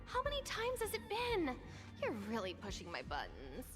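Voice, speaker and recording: A woman's voice: high voice, wildly swooping pitch, normal speed. An actress playing a young female researcher in a game cutscene, reluctant, curt and easily exasperated. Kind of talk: scripted game cutscene acting. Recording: long reverb, music under the voice.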